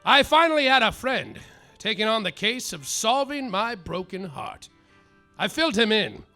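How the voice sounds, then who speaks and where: A man doing a bumbling noir private eye narrating his own case in a clipped, deadpan voice, high, bright and gravelly. His pitch swings widely, and faint music plays under his lines. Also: normal speed, exaggerated voice. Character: hard-boiled hapless male private detective narrator. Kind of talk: live comedy radio play.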